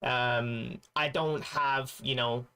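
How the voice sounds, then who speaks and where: A man's voice: mid-range voice, steady tone, takes it slowly, pushed and bright, clear, resonant tone. A man chatting casually on a stream, rambling and restarting his sentences as he searches for words. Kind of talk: casual chat while gaming.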